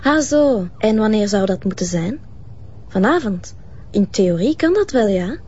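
Cool Flemish call girl, husky, dark mellow tone, guarded, businesslike, crime drama. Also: mid-range voice, animated, unhurried. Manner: guarded, matter-of-fact, phone-call delivery. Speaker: actor. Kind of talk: naturalistic crime drama acting. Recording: slightly muffled sound.

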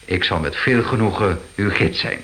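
An actor playing a grandiloquent, self-assured and courteous monuments inspector in a radio drama. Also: male voice, low-pitched, moderate pace, fairly bright tone. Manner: pompous, courteous. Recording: faintly noisy old radio drama.

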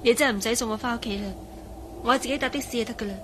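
A woman's voice: on the high side, racing, noisy background, close to an everyday voice. An actress playing a plucky, independent, slightly impatient young woman in a Cantonese radio drama, with a Hong Kong accent. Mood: brisk.

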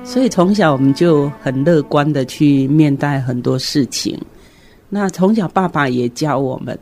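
A woman's voice: sits low, normal speed, darkish tone. An older woman with a Taiwanese Mandarin accent, sharing personal thoughts and good wishes as a guest in an earnest, encouraging way. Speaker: interview guest, retired kindergarten principal and teacher. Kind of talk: personal sharing and well-wishing. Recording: music under the voice. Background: background music.

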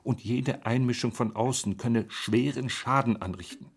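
An actor playing a grave, authoritative elder brother and government official in a radio play, stern and formal. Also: male voice, medium pitch, moderate pace, breathy edge. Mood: grave warning. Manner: stern, formal, insistent warning.

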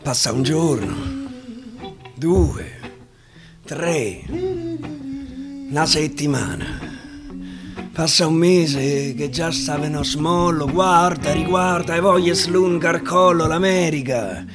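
Un uomo con accento romano che racconta versi in dialetto in modo teatrale, passando dal sommesso al declamato. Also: male voice, on the high side, slow pace, pauses often. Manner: dramatic, swinging between hushed and declaimed. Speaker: actor, storyteller. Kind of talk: theatrical dialect verse storytelling. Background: music.

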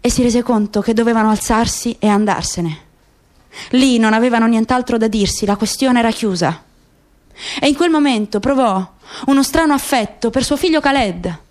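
Higher-voiced woman reading dramatically. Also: normal speed, darkish tone. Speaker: narrator and reader in a radio theatre reading. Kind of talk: dramatic literary narration.

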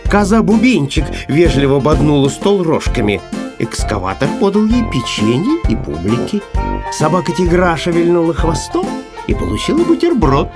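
A man narrating a children's story as an expressive audiobook reader, with music behind him. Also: a little high, normal speed.

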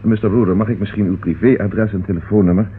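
A man playing a calm, courteous, methodical police inspector in a radio play, measured and probing. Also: fairly low pitch, husky. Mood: businesslike. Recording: noisy, tinny old radio recording.

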